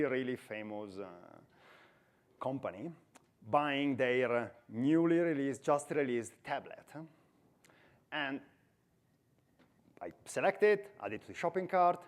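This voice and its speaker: A man in his forties with an Italian accent, giving a chatty, self-deprecating conference talk on stage into a headset mic. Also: mid-range voice. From Italy. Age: 40s. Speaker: conference speaker.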